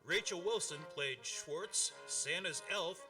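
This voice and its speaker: A man's voice: high voice, slow. A man reading a scripted credits announcement as the announcer of a radio production. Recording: slightly distant voice under music. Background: music.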